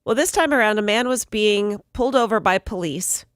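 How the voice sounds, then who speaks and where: A woman's voice: medium pitch, brisk, fairly bright tone, no room sound, clean studio sound. A woman hosting a radio morning show, telling strange news stories in casual banter with her co-hosts. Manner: storytelling, reads out odd news items with jokes. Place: radio studio. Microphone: studio microphone.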